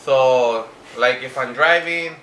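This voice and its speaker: A man with a bright, sharp-edged voice talks casually to viewers as he reviews products. His delivery is hesitant and halting, and he moves between two languages. The room is echoey, with a faint layer of noise behind him. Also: mid-range voice. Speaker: vlogger.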